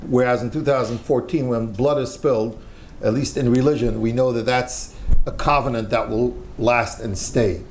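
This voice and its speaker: A man's voice: mid-range voice, fairly even intonation, moderate pace, a little mellow, a little hiss or hum. An older American man from the United States, speaking earnestly on a panel discussion as a religious community leader, repeating key phrases for emphasis.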